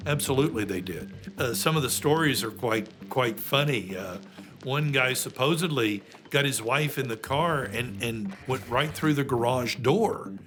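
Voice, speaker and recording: A man's voice: mid-range voice, moderate pace, a bit bright, soft background music. A man giving anecdotal commentary in a documentary interview.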